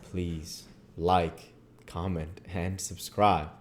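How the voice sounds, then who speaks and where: A man's voice: low-pitched, little pitch movement, drawn-out words. A man reading as a storybook narrator and host, folksy, with occasional stumbles. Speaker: reader and channel host. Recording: audible background noise.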